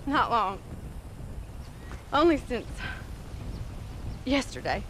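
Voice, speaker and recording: Feisty high-voiced frontier ranch woman. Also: big pitch swings, a bit bright, slight background noise, full character voice. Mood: brittle cheer. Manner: sardonic, brisk, folksy. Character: feisty, sharp-tongued young ranch woman in denial. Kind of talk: video game cutscene acting.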